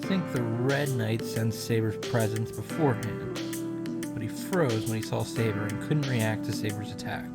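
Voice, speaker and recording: Low-voiced woman recapping a game, music behind. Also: moderate pace. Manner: expressive, exasperated reactions with swearing. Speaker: video game reaction host. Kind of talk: casual recap commentary with read-out game text.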